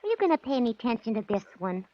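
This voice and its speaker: A woman's voice: a little high, sing-song, rapid-fire. A woman playing a naive, doubtful secretary in a 1940s radio drama, pleading and protesting. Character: fussy, doubtful young female secretary, eager and naive. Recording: dull old 1940s radio recording.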